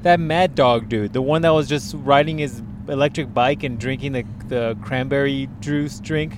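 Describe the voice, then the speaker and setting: A man's voice: medium pitch, moderate pace, noisy background. A man with a nasal voice chatting casually and animatedly, swearing freely. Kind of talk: casual chat. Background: sitting in a parked car.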